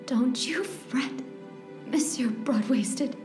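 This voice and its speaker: A woman plays a plucky, devoted sweetheart in a melodramatic radio parody. Her voice is fairly high and her delivery theatrical and sentimental. It has a roomy echo with music clearly behind her. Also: slow. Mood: weak, wistful.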